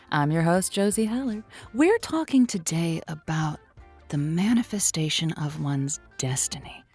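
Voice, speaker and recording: An actress playing a theatrical, intimate radio host of a lonely-hearts call-in show, dropping into whispers at times. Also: female voice, lowish voice, darkish tone. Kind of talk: scripted radio call-in hosting.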